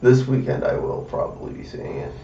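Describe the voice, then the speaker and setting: A man's voice: brisk, dark tone. A man chatting casually with friends about pop culture on a podcast. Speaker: podcast co-host or guest. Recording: roomy, dull, distant from mic.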